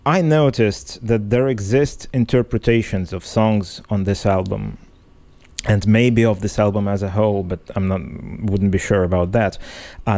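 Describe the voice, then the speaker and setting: Mellow-voiced man, non-native English speaker. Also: on the low side. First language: not English. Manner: thoughtful, hesitant, many restarts. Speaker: podcast co-host. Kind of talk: casual analytic chat.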